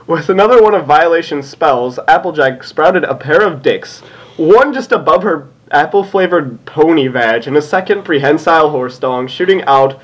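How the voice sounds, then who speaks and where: Man, slightly high voice, swooping intonation, casual amused fan fiction narrator, giggly. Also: animated, normal speed, rough recording. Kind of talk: amused comedic read-aloud with asides.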